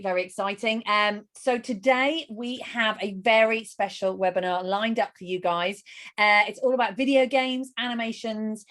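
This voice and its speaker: A middle-aged woman hosting a live webinar over a video call, enthusiastic and gushing as she rattles off quick lists. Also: a little high. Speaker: webinar host.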